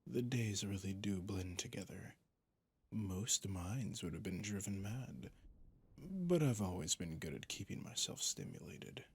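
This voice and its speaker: Ancient vampire captor, male voice actor, ASMR audio drama. Very unhurried, creaky, whispery delivery; sadistic, mocking, theatrical. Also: deep voice, animated, full character voice. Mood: amused, languid. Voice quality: breathy, gravelly. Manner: slow, theatrical, condescending, often dropping to a whisper.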